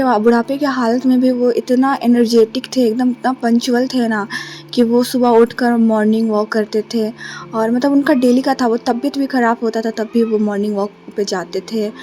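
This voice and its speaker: Young woman, from Jharkhand, sore throat, flat soft delivery, hesitant, slightly high voice. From Jharkhand, India. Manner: soft, subdued, many hesitations. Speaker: vlogger. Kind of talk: casual vlog narration. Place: bus stand, then a relative's flat.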